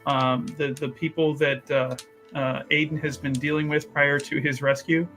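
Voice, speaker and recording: A man's voice: a little high, level tone, normal speed, a bit bright, soft background music. A man playing a tabletop game, asking questions and rambling with many restarts. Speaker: player. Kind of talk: casual tabletop game chat.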